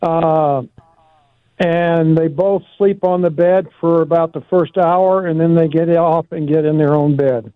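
A male caller on a radio show, talking haltingly with many pauses and fillers. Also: a little high, steady tone, no echo. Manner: halting, many fillers, loses his words. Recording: tinny phone line.

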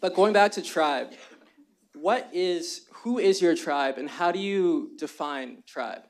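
Slightly hoarse man moderating a panel. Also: high-pitched, expressive, slow. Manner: structured, explanatory, with fillers like "kind of". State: slightly hoarse from singing the night before. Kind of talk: moderating a live panel discussion. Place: lecture room with a live audience.

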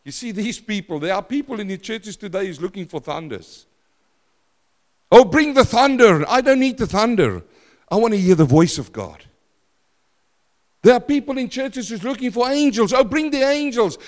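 A man preaching in a church, his voice carrying a long reverb through a large hall. He talks loud and emphatic, then drops to hushed lines. His pitch sits somewhat high for a man. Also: very animated, normal speed. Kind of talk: sermon.

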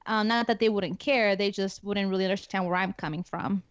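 Woman, Spanish accent, halting speech, many fillers, muffled dry audio. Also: medium pitch, steady tone, clear, resonant tone, no echo. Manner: hesitant, many fillers and restarts. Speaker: guest, security forces NCO. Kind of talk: casual interview talk. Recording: dull lo-fi dry sound.

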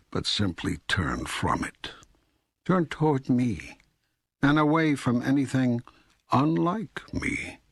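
A man playing God in an audiobook dialogue, speaking as a patient, all-knowing deity in a measured, authoritative, teaching way. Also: on the low side, fairly bright tone, slightly rough, dry recording, studio quality, heavily acted. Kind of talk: scripted audiobook dialogue reading.

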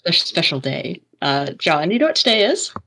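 A woman of thirty co-hosting a casual podcast, speaking in a halting way and repeating words for emphasis. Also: fairly low pitch, very animated, bright, forceful tone, husky. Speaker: podcast co-host.

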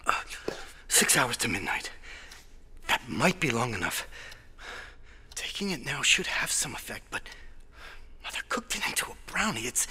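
A man's voice: mid-range voice, bright, forceful tone, breathy edge, heavily acted. A man acting a desperate condemned doctor in an audio drama, muttering breathily to himself and stammering. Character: desperate condemned doctor on death row, plotting escape. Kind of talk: audio drama acting, whispered interior monologue.

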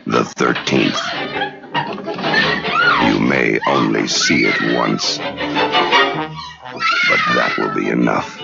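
Man playing an extremely deep, gravelly ominous trailer announcer. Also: takes it slowly, long pauses, muffled recording, loud music behind the voice, heavily acted. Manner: ominous, dramatic. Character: ominous male trailer announcer, deadly serious. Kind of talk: horror film trailer narration.

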